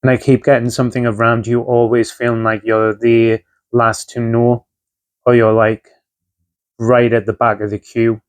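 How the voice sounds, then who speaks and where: A man gives a relaxed tarot reading with plenty of fillers and asides. He is still recovering from a chest infection, and his mid-range voice sits close and dry with no room sound around it. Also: mid-range voice, normal speed, no echo. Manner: conversational, with frequent fillers and asides. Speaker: tarot reader. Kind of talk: casual tarot reading to camera.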